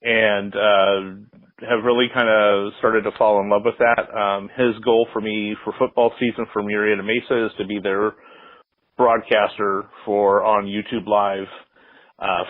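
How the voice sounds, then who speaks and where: A middle-aged American man with a fairly low voice speaks casually as an interview guest. He rambles reflectively, pausing often between phrases. The sound is narrow and phone-like. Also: level tone. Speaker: interview guest, sports public address announcer. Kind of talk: casual conversational interview answers. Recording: roomy, slightly muffled phone-like line.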